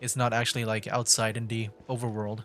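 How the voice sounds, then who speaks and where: A man's voice: fairly low pitch, little pitch movement, fairly quick, a bit bright. A man doing casual live gameplay commentary as a streamer, hesitantly thinking aloud. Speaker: game streamer. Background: game music.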